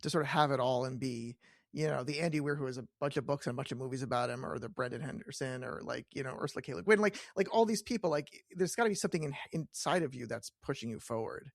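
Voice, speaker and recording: A man's voice: medium pitch, fast talker, gravelly, no echo, studio quality. A middle-aged man hosting a podcast, interviewing casually in an enthusiastic, encouraging, chatty way.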